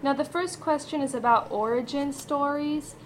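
A woman in her twenties from Alaska, a counselor leading interviews, casual and quick to laugh. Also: sits high. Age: 20s. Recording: noisy room recording.

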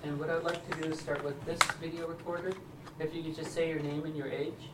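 A man in his thirties explains an interview project with halting, filler-heavy speech. He sounds distant from the mic in a roomy space, with clear background noise. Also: a little high, level tone. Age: 30s. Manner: hesitant, many fillers. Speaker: interviewer and camera operator. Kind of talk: casual interview setup. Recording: echoey room, distant noisy mic.

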